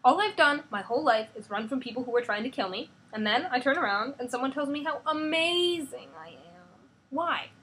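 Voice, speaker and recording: A woman's voice: on the high side, animated, fairly quick, a bit bright, a little hiss or hum, poor audio. A woman voicing a headstrong teenage girl hero who pushes back with raised, indignant lines. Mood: defiant.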